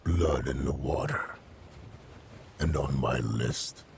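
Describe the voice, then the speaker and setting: An actor playing a vengeful, haunted undead killer in a video game, ominous and intense, gravelly and breaking into whispers. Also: male voice, a bass voice, slow pace, very noisy, exaggerated voice. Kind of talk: video game cutscene acting.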